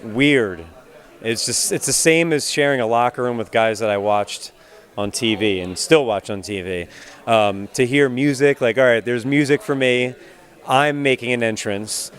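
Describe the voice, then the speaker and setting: A man's voice: medium pitch, moderate pace. An American man in his twenties being interviewed as a pro wrestler, talking casually in a chatty, cheeky way. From United States. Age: 20s.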